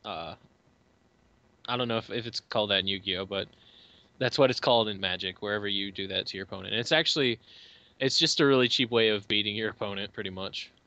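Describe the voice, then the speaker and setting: A man's voice: lowish voice, fairly quick, pushed and bright. A man co-hosting a casual fan movie commentary, joking crudely and swearing freely. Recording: dry, dull lo-fi home mic.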